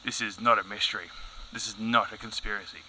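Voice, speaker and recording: A man's voice: fairly low pitch, fairly even intonation, fast talker, bright, forceful tone, slight background noise, poor audio. Man playing a tense, exasperated professor. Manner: emphatic, precise, exasperated. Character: exasperated male professor, rational and pedantic expert. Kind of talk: satirical news audio comedy acting.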